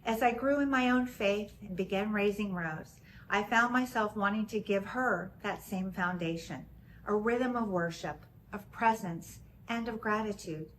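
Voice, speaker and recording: A woman in her forties from Texas, with a slight Texan accent, giving a gentle, measured personal testimony as a church member. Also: medium pitch, a little hiss or hum, rough recording.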